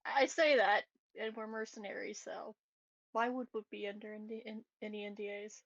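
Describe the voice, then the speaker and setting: A woman's voice: medium pitch, level tone, moderate pace, fairly bright tone, no room sound, highs cut off. A woman playing a tabletop roleplaying game, chatting casually, half in character.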